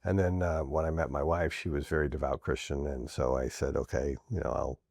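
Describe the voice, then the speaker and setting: A man's voice: a bass voice, little pitch movement, brisk, vocal fry, darkish tone, breathy edge, no echo, clean studio sound. A middle-aged man from New Orleans, a podcast guest, talking earnestly and reflectively in a casual interview, often restarting his sentences.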